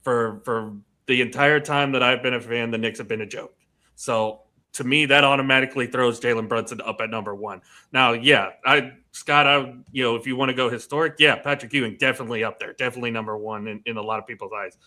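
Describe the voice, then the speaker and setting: A man's voice: medium pitch, fairly even intonation, quick pace, pushed and bright. A young man giving his personal opinions in a casual sports panel discussion.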